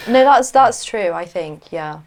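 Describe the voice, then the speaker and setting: A woman on a discussion panel talks casually about reading and personal experience. Her voice sits at a middle pitch, and she sounds hesitant and agreeable, often trailing off with small laughs. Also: lively intonation, unhurried, a little mellow. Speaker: literature panelist.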